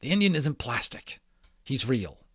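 A man's voice: medium pitch, sing-song, a little slow, a bit bright, no echo, studio quality, pitched above the performer's own voice. A man voicing an earnest young boy guarding a secret in a storybook reading.

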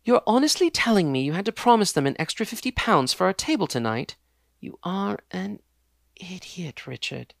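A sharp, bossy young woman from a storybook, pitched high and snapping out orders, as a male narrator puts her on. Her voice has a gravelly edge and sounds dry and close. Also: sing-song, normal speed, no echo, heavily acted. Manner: bossy and scolding.

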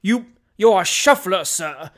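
A pompous little army doctor from a storybook, acted out by a narrator, bursting with indignation. He speaks loudly in clipped, gasping, emphatic phrases. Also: mid-range voice, lively intonation, takes it slowly. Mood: furious, gasping.

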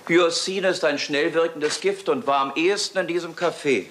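A man's voice: a little high, moderate pace, close to an everyday voice. A man playing a sober, matter-of-fact doctor in a German radio crime drama. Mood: grave, matter-of-fact. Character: sober male doctor assessing a poisoning. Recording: slightly hissy old radio play recording.

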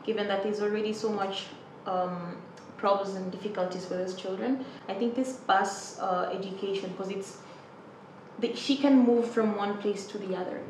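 A woman's voice: mid-range voice, steady tone, moderate pace, a little mellow, far from the mic, slight background noise. A woman on a student panel giving a thoughtful, supportive view. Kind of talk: discussion. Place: studio with panel on a couch. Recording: roomy studio sound from off-mic.

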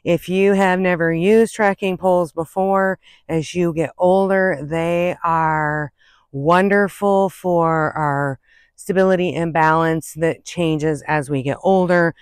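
A woman's voice: on the low side, fairly even intonation, takes it slowly, clean tone, no room sound. Casual middle-aged woman, dry outdoor sound. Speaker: hiking vlogger. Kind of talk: casual chat to camera, giving tips. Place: outdoors on an open woodland and prairie trail. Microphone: phone or camera at arm's length.

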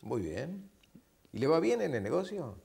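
An older man with an Argentine Spanish accent hosts a matchmaking program in a TV studio. He coaxes his guest with playful, repeated questions, his pitch swinging widely. The sound is a lapel mic in a studio. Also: very animated, a little slow, vocal fry, dark tone, slightly rough. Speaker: TV matchmaking show host. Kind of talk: studio interview and address to camera. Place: TV studio set.

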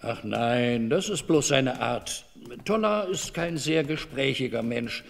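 A man's voice: medium pitch, animated, takes it slowly, creaky, slight background noise. A man playing an evasive, overly cordial middle-aged master of a house in a German radio drama, wordy, hesitant and patronizing. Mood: affable, wordy.

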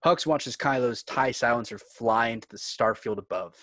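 A man with a mid-pitched voice narrates a screenplay's stage directions. His delivery is measured and clipped, recorded close with almost no room sound. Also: a bit bright, no echo. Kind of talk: scripted narration in a fan table read.

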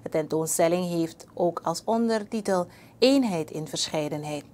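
A woman news presenter reading formally and clearly in a TV studio. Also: lowish voice, moderate pace, warm tone, a little hiss or hum. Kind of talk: news anchoring.

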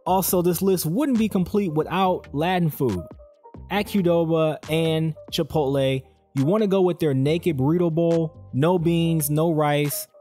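A man's voice: a little high, unhurried, dark tone, soft background music. A man hosting a fitness channel, reading a scripted countdown voice-over in an upbeat, direct way and speaking straight to the viewer. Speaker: fitness and keto channel host. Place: voice-over over slides, not recorded on screen.

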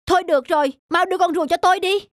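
A woman's voice: extremely high pitch, steady tone, racing. A woman voicing a kind-hearted, earnest young village man in a fairy-tale cartoon. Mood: insistent. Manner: earnest, pleading.

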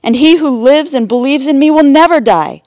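A woman voicing a calm, reassuring, solemn male religious teacher in a storybook Bible tale. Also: high-pitched, moderate pace, no echo, clean studio sound, pitched above the performer's own voice.

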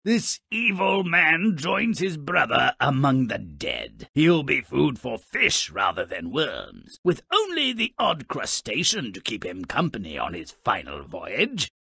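An actor voicing a gleefully morbid, sardonic dwarf storyteller in a video game, raspy, mocking and theatrical as he narrates twisted fairy tales. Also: medium pitch, sharp tone, no room sound, studio quality, heavily acted. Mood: darkly sardonic. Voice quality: raspy, gravelly. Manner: mocking, theatrical, relishing the gruesome.

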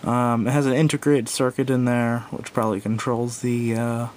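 A man giving a casual, unscripted product review in a halting, self-doubting way, recorded indoors at a tabletop on a camera microphone. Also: flat delivery, darkish tone. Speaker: gadget reviewer. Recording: clear background noise with low rumble.

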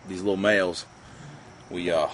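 Man in his forties, very low voice, folksy and plain-spoken, filmed outdoors with faint background hiss. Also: fairly even intonation, normal speed. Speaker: fishing vlogger. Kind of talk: casual how-to vlog. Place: outdoor deck by trees. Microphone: camera a few feet away.

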